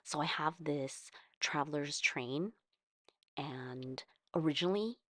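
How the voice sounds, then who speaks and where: Low-voiced American woman chatting casually. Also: takes it slowly, dry recording, studio quality. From California, United States. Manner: rambling, conversational, thinking aloud. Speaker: craft video host. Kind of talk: casual chat about journaling.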